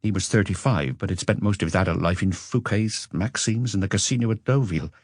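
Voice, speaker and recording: A man's voice: sits low, brisk, breathy edge, no room sound, studio quality. A man voicing a weary businessman under police questioning, earnest, wordy and self-justifying, in an audiobook. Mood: defensive.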